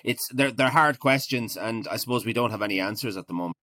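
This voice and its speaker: An Irish man in his forties talking casually as an interview guest, halting and often repeating his words. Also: mid-range voice, bright, forceful tone, dry recording, studio quality. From Ireland. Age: 40s.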